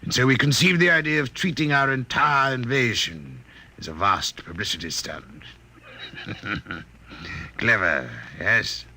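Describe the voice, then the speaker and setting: Gloating Martian villain, raspy, forceful, suave, mock-courteous, deliberate, vintage radio drama. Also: male voice, mid-range voice, full character voice. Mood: gloating, amused. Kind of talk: old-time radio drama acting.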